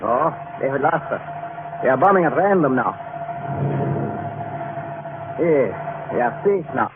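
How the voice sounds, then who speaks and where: An actor playing a commanding German submarine captain with clipped, dismissive authority in an old radio drama. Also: male voice, medium pitch, animated, a little slow, lightly acted. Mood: cool, dismissive. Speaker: ship's captain. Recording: noisy, tinny old radio recording.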